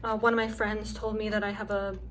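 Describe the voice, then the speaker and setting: A young woman with a soft, calming voice chatting casually and rambling with lots of fillers as she hosts a craft video at home. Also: medium pitch, brisk, slight background noise. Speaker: craft channel host. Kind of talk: casual chat while chopping wax. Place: home with her dog nearby.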